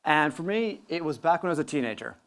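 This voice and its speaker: A man's voice: a little high, lively intonation, quick pace, fairly bright tone. A man who grew up in northern Italy giving a conference talk, telling a personal story fluently and earnestly. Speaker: conference speaker. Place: conference stage before an audience.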